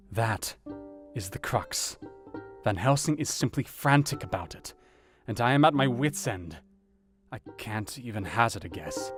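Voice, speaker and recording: An actor playing an anxious, educated doctor, narrating his diary in an audio drama in a measured, formal, earnest way. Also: mid-range voice, lightly acted. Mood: grave, troubled, then frantic, exasperated. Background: guitar music.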